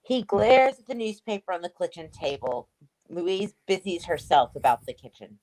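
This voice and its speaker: A woman's voice: fairly low pitch, very animated, normal speed, darkish tone, no room sound, poor audio. A woman reading stage directions as scripted narration for a play.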